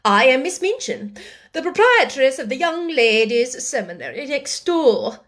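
A woman's voice: high voice, very animated, measured pace, a bit bright, pitched above the performer's own voice, exaggerated voice. A woman voicing a haughty, stern middle-aged schoolmistress who speaks with rigid politeness and indignant command.

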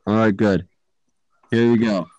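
A man's voice: on the low side, fairly even intonation, a little slow, a little mellow. A teenage boy with an Irish accent, hosting a loose chat on a home microphone. He swears freely and teases his friends bluntly. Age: teens. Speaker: podcast host. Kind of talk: casual chat.

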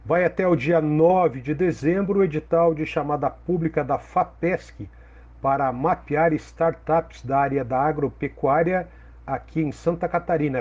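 A man with a Brazilian Portuguese accent, presenting a farm radio program in a steady, measured scripted read. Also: on the high side, husky. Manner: steady, measured reading of announcements. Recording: dull lo-fi radio sound with faint music.

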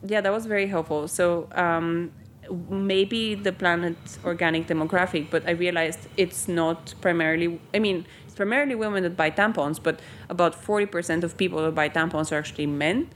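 A woman's voice: on the low side, brisk, few pauses, slight background noise. A young woman from Bulgaria, a company founder, chatting in an interview with many ums and likes. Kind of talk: casual interview.

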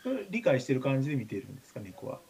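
Male radio talker, Kansai accent, lively swinging intonation, relaxed conversational storytelling. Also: medium pitch, very fast, creaky. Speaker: radio show talker, writer. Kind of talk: casual chat and anecdote telling.

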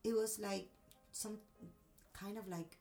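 A woman's voice: mid-range voice, steady tone, slow, dark tone, distant mic, faint music behind. A woman from Cuba with a Cuban accent and Spanish as her first language, telling a personal story expressively in a conversational interview.

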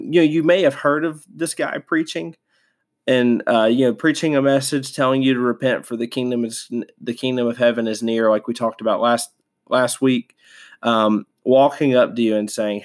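A man hosting a devotional podcast, stuffed up from a sinus infection, talking casually with many fillers and restarts. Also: mid-range voice. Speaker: podcast host.

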